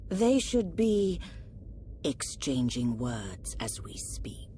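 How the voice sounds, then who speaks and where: A woman playing a cold, scheming government minister in a video game, formal, measured and insinuating. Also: fairly low pitch, huge pitch swings, warm tone, a little hiss or hum, heavily acted. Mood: sly, deferential. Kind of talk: dramatic video game voice acting.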